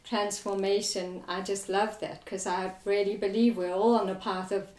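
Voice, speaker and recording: A South African woman talks casually as an interview guest. Her voice sits at a middling pitch, and the sound is noticeably roomy, with echo around her words. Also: little pitch movement, moderate pace, darkish tone. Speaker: guest, massage therapy business founder. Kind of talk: casual chat.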